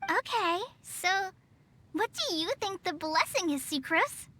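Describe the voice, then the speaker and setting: A timid young ranger girl, high bright voice, slow halting delivery, faint music. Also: expressive, a little hiss or hum, full character voice. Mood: shy. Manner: timid, hesitant, soft-spoken with many fillers. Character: shy young female forest ranger trainee. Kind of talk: video game voice acting. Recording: faint game music behind.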